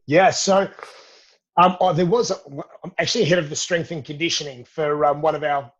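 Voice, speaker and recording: A man's voice: on the high side, fairly even intonation, normal speed, a bit bright, lo-fi sound. A middle-aged Australian man with an Australian accent, a fitness educator answering interview questions in an upbeat, friendly way. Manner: upbeat, calls the host mate. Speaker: podcast guest, fitness educator.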